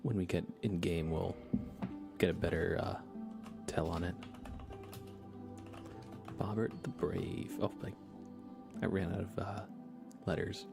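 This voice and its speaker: Low, gravelly man murmuring over game music. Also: steady tone, slow pace, long pauses. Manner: low-key, partly whispered, chatting to viewers. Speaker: live streamer. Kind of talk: soft-spoken ASMR game stream chat. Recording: loud game music behind the voice. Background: video game music.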